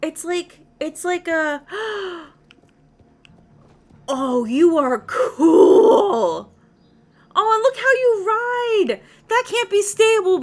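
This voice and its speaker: A woman giving casual, excitable gameplay commentary as she plays. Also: extremely high pitch. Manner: excitable, gushing. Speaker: gamer, channel host. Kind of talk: casual gameplay commentary, reading quest dialogue aloud.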